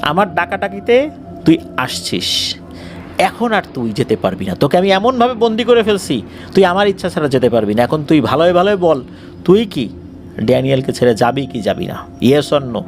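A man voicing a stern village exorcist in a horror story, giving forceful, deliberate orders. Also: a little high, very animated, slight background noise. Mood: stern, commanding.

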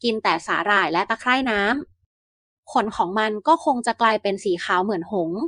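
A woman narrating Aesop-style fables for bedtime in an even, measured reading. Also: medium pitch, no echo, studio quality. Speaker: storyteller.

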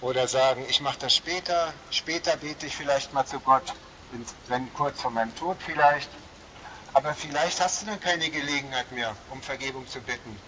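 A man's voice: medium pitch, moderate pace, pushed and bright. A man from Berlin with a light Berlin accent, preaching a fervent open-air sermon on a shopping street and often saying "ja" and "Halleluja". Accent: light Berlin German. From Berlin, Germany. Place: outdoor shopping street under a small roof, rain. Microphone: small loudspeaker setup. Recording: noisy outdoor street ambience.

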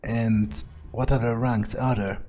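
Guy playing a vampire newbie in a game, pondering out loud, kinda impatient and sweary, average male pitch. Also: fairly even intonation, a little slow, lightly acted. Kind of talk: improvised in-character game roleplay. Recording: faint music behind the voice.

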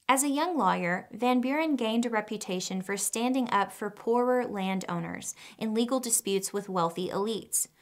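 A woman's voice: mid-range voice, fairly bright tone. Young woman narrating a scripted lesson. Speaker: educational video presenter. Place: studio with a plain wooden backdrop.

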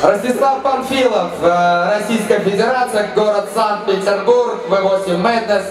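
An energetic man calls out to the stands with a strikingly high voice. The sound is heavily echoing and distant, as if through loudspeakers at an outdoor track, with loud music behind. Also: moderate pace. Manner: loud energetic announcing, shouting to crowd. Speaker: event announcer. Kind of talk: live event announcing over PA. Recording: echoey PA sound from far off with music.

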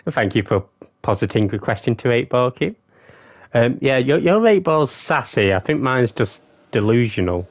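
A man's voice: lively intonation, measured pace, dry recording. A man, casually chatting with his live-stream viewers while gaming, playful and jokey. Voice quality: deep voice. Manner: playful, jokey, bursts into silly singing.

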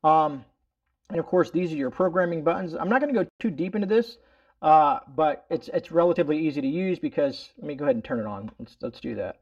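A man's voice: fairly high pitch, animated, fast, dull audio. A man hosting a casual product demonstration indoors at home, explaining things in a rambling, folksy way. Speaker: host of a product review video.

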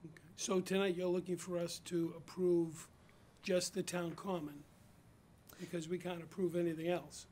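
An older board member at a public meeting, asking measured, procedural questions. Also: male voice, high-pitched, flat delivery, darkish tone. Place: town hall meeting room.

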